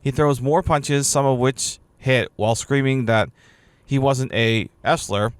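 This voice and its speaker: A man's voice: medium pitch, fairly even intonation, unhurried, smooth, no room sound. A man in his thirties co-hosting a casual podcast, playful and laughing, reading listener posts aloud. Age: 30s. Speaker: podcast co-host. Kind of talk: casual chat.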